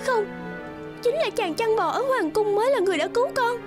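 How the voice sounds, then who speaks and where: A very high-pitched girlish princess. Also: animated, fairly quick. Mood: insistent. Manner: girlish, earnest. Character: sweet, determined young princess. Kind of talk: cartoon fairy-tale acting. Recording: music under the voice.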